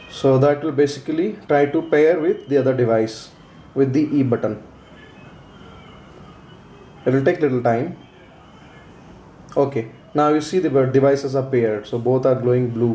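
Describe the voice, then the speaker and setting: A man with a slightly high, soft-edged mellow voice walks through a product in a casual how-to style. He explains each step hesitantly, often repeating himself. The recording is roomy and echoey, made indoors at a tabletop. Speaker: product reviewer. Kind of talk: casual unboxing and how-to demonstration. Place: indoors, small room at a tabletop. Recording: roomy echo, faint background noise.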